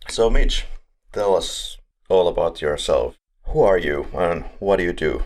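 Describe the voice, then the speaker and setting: A man's voice: low-pitched, expressive, measured pace, darkish tone. A man from Finland, hosting a podcast in a casual, upbeat and joking way. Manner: upbeat, joking, laughs between lines. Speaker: podcast host. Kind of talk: casual chat.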